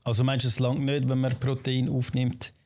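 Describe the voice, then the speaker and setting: Male talk host, Swiss German accent, dark mellow tone, loose joking street-casual delivery. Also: medium pitch, completely flat delivery, normal speed, faint music behind. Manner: slangy, informal, joking. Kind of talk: casual interview chat.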